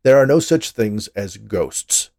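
A man voicing a grumpy, sarcastic, dismissive husband with a gruff, grumbling delivery in a storybook reading. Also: low voice, fairly quick, warm tone, no room sound, clean studio sound.

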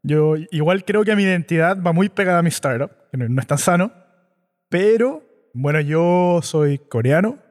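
A man with a Chilean accent, a startup founder, telling his story in a relaxed, reflective interview. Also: a little high, moderate pace, in a large hall. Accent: Chilean Spanish. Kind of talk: conversational interview.

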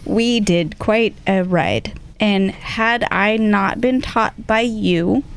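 A woman's voice: mid-range voice, takes it slowly, smooth. A woman co-hosting a podcast in a casual group chat. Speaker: podcast co-host.

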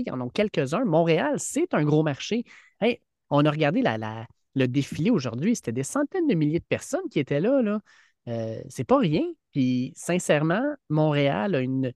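A man's voice: on the high side, darkish tone, no room sound, clean studio sound. A man with a Quebec French accent co-hosting a sports podcast, talking earnestly with frequent restarts. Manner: earnest, many fillers and restarts. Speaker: podcast co-host, teacher. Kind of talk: casual sports chat. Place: podcast studio.

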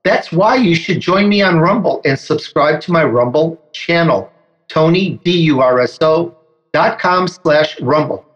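A man hosts an interview show and talks with emphatic energy, building up his guest. His voice sits a little higher than most men's. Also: lively intonation, measured pace, highs cut off. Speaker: podcast host. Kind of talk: enthusiastic interview hosting.

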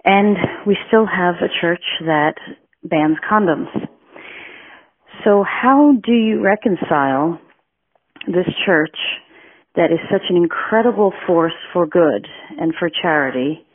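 A woman's voice: fairly low pitch, takes it slowly. A woman from New York leaves a passionate listener question for a podcast. Her voice comes through a tinny, phone-like line. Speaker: listener caller.

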